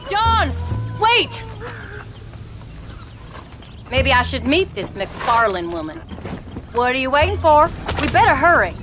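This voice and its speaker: An actress playing a sharp-tongued, proud frontier wife and mother with an American frontier drawl, in a video game. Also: high voice, very animated, takes it slowly, long pauses, music in the background, exaggerated voice. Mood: teasing, jealous. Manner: sassy, teasing. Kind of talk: video game dramatic dialogue.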